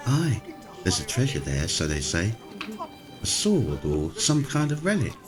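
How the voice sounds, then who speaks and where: A man's voice: sits low, wildly swooping pitch, unhurried, music in the background, full character voice. A man playing an earnest adventurer in a fantasy audio drama, insisting on a wondrous tale in an emphatic storytelling manner. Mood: recounting.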